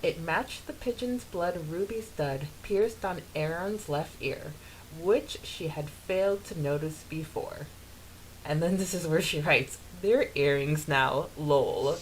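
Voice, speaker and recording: A woman with a slightly low voice talks as a podcast co-host in casual fan discussion. Her manner is giggly and animated. There is faint hiss behind her. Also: takes it slowly, low-quality recording. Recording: hissy background noise.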